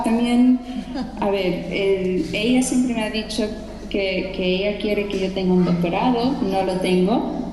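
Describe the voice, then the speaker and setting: A woman's voice: lowish voice, noisy background. A woman in her thirties from Chicago with an American accent, telling her personal story at a gathering, hesitant, with many restarts and fillers. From Chicago, United States. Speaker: student speaker at a gathering. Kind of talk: personal testimony talk. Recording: echoey hall with long reverb and music.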